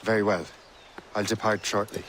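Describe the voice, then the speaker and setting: A low-pitched, self-assured Irish sea captain, acted by a man in a game cutscene. He is dry, resolute and plain-spoken, with faint noise behind him. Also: level tone, normal speed, fairly bright tone, natural voice. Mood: dutiful. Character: cool, self-assured young male sea captain turned Templar. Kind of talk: dramatic game cutscene acting.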